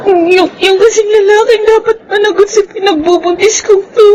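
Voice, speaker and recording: Distressed pregnant woman role, very high, tearful, pleading radio drama delivery. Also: steady tone, moderate pace, a little mellow, a little hiss or hum, rough recording.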